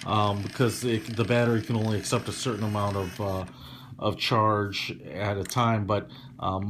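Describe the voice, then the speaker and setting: A man giving a casual, hands-on gadget demonstration in an explanatory, measured way, hedging his opinions as a product reviewer. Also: on the low side, a little hiss or hum.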